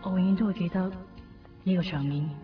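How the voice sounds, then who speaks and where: A woman's voice: fairly low pitch, quick pace, close to an everyday voice. An actress playing a devoted, sentimental young woman in a Cantonese radio drama, earnest and emotional, with a Hong Kong accent. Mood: shaken, reflective. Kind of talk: 1990s Cantonese radio drama acting with first-person narration. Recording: distant, dull radio recording with music.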